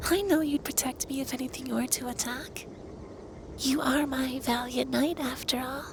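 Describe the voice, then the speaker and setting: Man acting as ancient male dragon, high androgynous voice, level intonation, formal deliberate phrasing, stern but caring, intimate ASMR roleplay. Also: fairly even intonation. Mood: fond, teasing. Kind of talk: ASMR roleplay, intimate scripted monologue addressed to listener. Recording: clear background noise with ambience.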